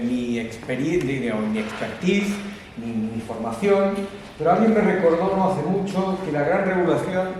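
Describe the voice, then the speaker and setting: A man with an Argentine Spanish accent giving a conversational public lecture, halting now and then with fillers and restarts. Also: mid-range voice, a little mellow. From Catalonia, Spain. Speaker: guest speaker. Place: lecture hall. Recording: echoey hall from far off with faint background noise.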